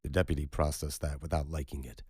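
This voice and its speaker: A man reading scripted dramatic fiction as an audiobook narrator, in a husky voice. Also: very deep voice, little pitch movement, fast, mellow, no echo, clean studio sound.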